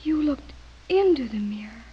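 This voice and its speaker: An actress playing a timid, infatuated young woman with a breathy, hesitant delivery in old-time radio horror style. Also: high voice, expressive, a little hiss or hum. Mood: nervous, confessing. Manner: hesitant, halting, nervous. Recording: muffled old radio drama recording.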